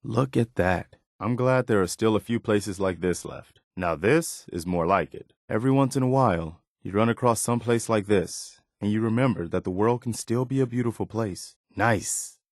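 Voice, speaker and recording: Guy playing earnest wasteland militia companion for a video game, lowish voice, dry close recording. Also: no room sound, lightly acted. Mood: wistful, hopeful. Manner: earnest, measured, conversational. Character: earnest, wary militia man in a post-apocalyptic wasteland. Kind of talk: game voice acting, short spoken reactions.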